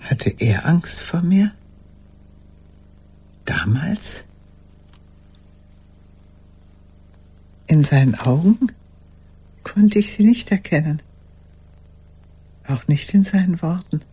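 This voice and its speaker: An actress playing an old woman in a radio play monologue, courteous and haunted, recalling the past in a halting, breathy, raspy voice. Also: lowish voice, lively intonation, warm tone, exaggerated voice. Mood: tender, haunted. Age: older. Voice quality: husky, raspy, breathy, often dropping to a whisper. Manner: deliberate, reflective, halting.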